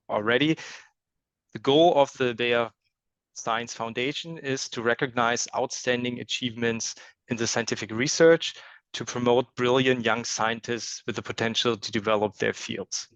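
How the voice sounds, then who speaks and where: A man's voice: medium pitch, steady tone, unhurried, a bit bright, dry recording. A man hosting an online science lecture, formally introducing the speaker with many hesitations. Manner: hesitant, many ums and uhs.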